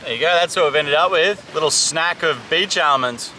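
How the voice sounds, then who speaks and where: A young man from Samoa hosts a survival vlog, talking in an upbeat, enthusiastic way with a bright, ringing voice pitched a little high. He is recorded outdoors on a tropical beach, with faint surf noise behind him. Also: normal speed. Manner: upbeat, enthusiastic tour-guide talk. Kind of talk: casual outdoor explainer.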